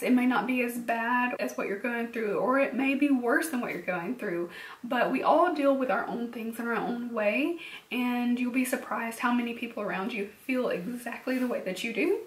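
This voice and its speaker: Young woman vlogging, hoarse voice, kinda high, gentle and reassuring, echoey room a bit off mic. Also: distant mic. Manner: soft, earnest and reassuring, with frequent ums and small laughs. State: losing her voice, slightly cracking. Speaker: beauty vlogger. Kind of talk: personal vlog talking to camera.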